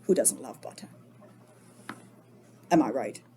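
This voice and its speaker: A woman's voice: a bass voice, lively intonation, a little slow, dark tone, slight background noise. A woman voicing a proud, boastful old male inventor in a children's picture book read-aloud, theatrical and pleased with himself. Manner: self-congratulating, theatrical.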